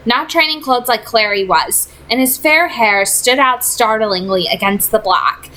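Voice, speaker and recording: A woman with a fairly high voice narrates a young adult novel aloud. She delivers the prose as a storyteller, in an audiobook-like read. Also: pushed and bright, a little hiss or hum, poor audio. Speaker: audiobook-style reader.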